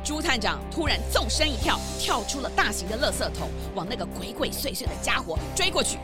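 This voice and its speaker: A woman narrating a children's story in an animated storytelling style. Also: on the high side, fairly quick. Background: music.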